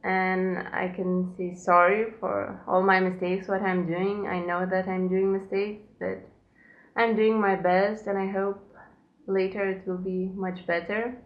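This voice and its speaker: Knitting podcast host, Lithuanian-accented woman, measured non-native English, dull lo-fi home recording from a camera. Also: medium pitch, level tone, echoey room. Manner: unhurried, careful non-native English with pauses. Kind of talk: casual solo podcast chat. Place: her home, indoors.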